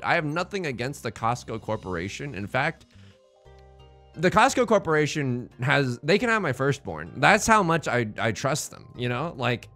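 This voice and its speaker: A man from China hosting a let's play, riffing through his game with running jokes and internet slang. His voice sits at an ordinary middle pitch but swings widely as he talks. Quiet game music plays underneath. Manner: jokey, rambling, playful internet slang. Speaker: gaming channel host.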